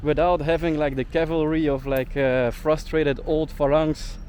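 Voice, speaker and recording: A man's voice: on the high side, flat delivery, measured pace, darkish tone, slight background noise. A young man in a ranting vlog monologue, indignant and peppering his talk with "like.". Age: young adult. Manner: indignant, heavy use of "like" fillers. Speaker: travel vlogger.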